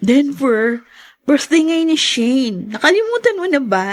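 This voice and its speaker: An earnest young student. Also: female voice, high voice, sing-song, slow, full character voice. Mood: friendly, chatty. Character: polite, earnest young female university student, heiress. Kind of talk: radio drama acting.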